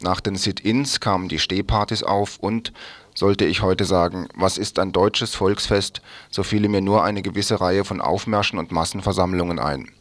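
Man, low-pitched voice, scripted reading, article excerpts, dry studio-like sound. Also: fairly bright tone, no echo. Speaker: reader of quoted passages.

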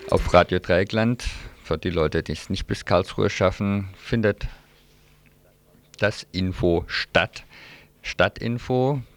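A man's voice: low voice, slow. A man presenting on local radio, giving announcements and news previews in a loosely improvised manner. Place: radio studio.

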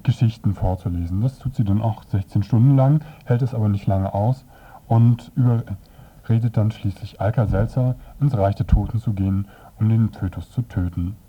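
A man presenting a scripted review on the radio as narrator, with measured, halting phrasing. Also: on the low side, slightly rough, highs cut off.

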